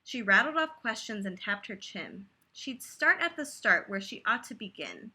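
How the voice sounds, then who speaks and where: A woman reading a children's picture book aloud expressively, pausing to chat to her young listeners about the story. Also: medium pitch, breathy edge. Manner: animated, pauses to comment and ask listeners questions.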